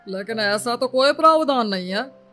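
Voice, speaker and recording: Lady doing a flustered wedding priest guy, officious, highish voice, faint music behind. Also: expressive, normal speed, bright, forceful tone.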